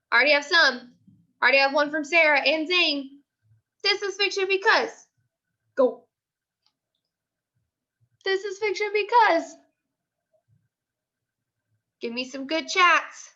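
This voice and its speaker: A woman's voice: very high voice, expressive, slow pace, pauses often, bright, forceful tone, dull audio. A woman teacher leading a live online class discussion, prompting with repeated questions and short commands.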